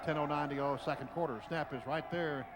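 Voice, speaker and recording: A man's voice: fairly high pitch, fairly even intonation, a little fast, a crowd in the background. An American man doing live radio football play-by-play in clipped phrases, calling the action as it happens. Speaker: play-by-play announcer. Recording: radio broadcast over clear stadium noise. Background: stadium noise.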